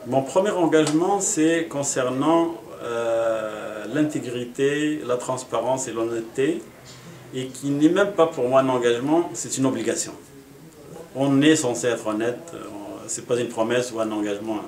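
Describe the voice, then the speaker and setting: Homme d'âge mûr d'origine marocaine, pièce résonnante. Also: male voice, mid-range voice, a little mellow. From Morocco. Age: middle-aged. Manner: earnest, measured, with frequent pauses and fillers. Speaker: municipal election candidate. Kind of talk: interview answers. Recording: echoey room.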